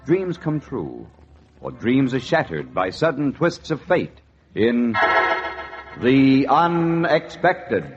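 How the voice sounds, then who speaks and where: Slow, theatrical vintage radio announcer, male. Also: medium pitch, very animated. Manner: portentous, theatrical. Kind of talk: dramatic 1940s radio narration. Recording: dull vintage 1940s radio recording. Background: organ music.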